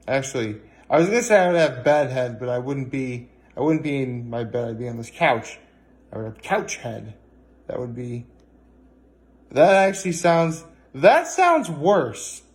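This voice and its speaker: A man chatting casually in a solo vlog, rambling and halting as he often corrects himself. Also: medium pitch. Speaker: vlogger. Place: indoors at home, on a couch.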